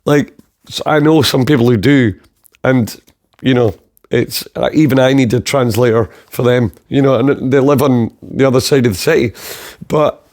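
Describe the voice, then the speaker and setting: A man with a southern Scottish accent hosts a podcast in loose, easygoing chat. He wanders off on tangents, swears without a second thought, and laughs along at his own wordplay. His voice sits at an ordinary, middle pitch. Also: normal speed, darkish tone. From south of Scotland. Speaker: podcast host. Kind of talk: casual chat.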